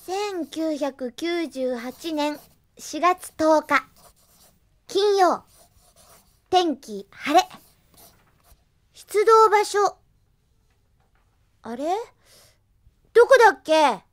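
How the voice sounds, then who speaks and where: A woman's voice: extremely high pitch, animated, drawn-out words, long pauses, exaggerated voice. An actress playing an earnest, scatterbrained young policewoman thinking aloud as she writes her diary in an anime radio drama. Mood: puzzled, chatty. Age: young adult. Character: young policewoman, earnest, girlish, scatterbrained rookie robot pilot.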